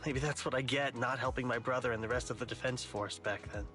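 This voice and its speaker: An earnest, guilt-ridden man in a game, voiced by an actor, with a bright, tense voice at a middling pitch. He speaks hesitantly and apologetically over music. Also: steady tone, fast, close to an everyday voice. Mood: remorseful. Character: apologetic, guilt-ridden younger brother, earnest civilian client. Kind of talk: dramatic game voice acting.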